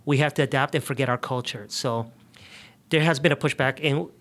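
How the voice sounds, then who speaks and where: A man from the United States speaking as an interview guest, explaining things earnestly in conversational, somewhat halting phrases. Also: medium pitch, fairly bright tone. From United States, grew up in New York and the South. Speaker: immigration justice advocate, interview guest.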